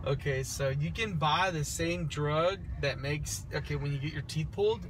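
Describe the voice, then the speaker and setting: A man talks casually with a companion inside a car, swearing as he goes. Clear background noise surrounds his voice on the phone recording. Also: a little high, normal speed. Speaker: vlogger's companion. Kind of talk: casual chat.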